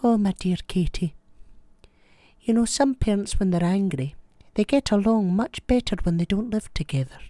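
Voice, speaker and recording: Woman acting a gentle, mellow old nanny. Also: mid-range voice, no echo, clean studio sound, natural voice. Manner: gentle, unhurried, reassuring. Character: kindly, motherly old nanny reading and answering a child's letter. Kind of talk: film-style dramatic monologue.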